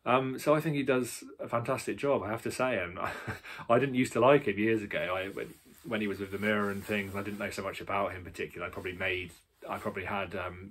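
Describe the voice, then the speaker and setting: Low, husky British man chatting casually. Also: a bit bright. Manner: rambling, emphatic, blunt. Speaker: book reviewer. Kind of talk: casual opinionated chat.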